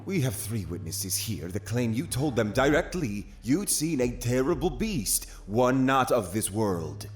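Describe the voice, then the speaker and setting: Guy playing court questioner, mid-pitched, formal and accusatory, audio drama style. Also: very animated, a little slow, few pauses, faint music behind. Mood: formal accusing. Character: formal, probing witch-trial interrogator.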